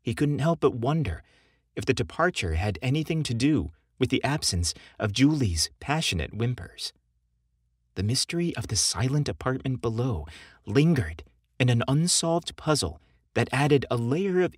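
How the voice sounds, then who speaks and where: A man narrating romantic fiction from a script in a measured, expressive storytelling manner. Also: mid-range voice, slightly rough, no echo, clean studio sound.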